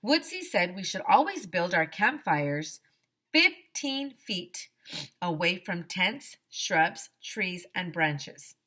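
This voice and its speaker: A woman reads to children as their teacher, with a bright, forward voice. Her delivery is expressive and emphatic, moving widely up and down in pitch. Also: slow. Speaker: teacher reading aloud to children. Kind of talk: animated children's story read-aloud.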